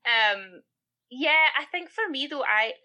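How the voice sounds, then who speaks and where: A woman's voice: sits high, animated, slow, sharp tone. A woman with a Scottish accent, from Scotland, chatting casually as a podcast guest and telling a story, often tagging "right?" onto her sentences. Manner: chatty, tags questions with "right?". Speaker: podcast co-host.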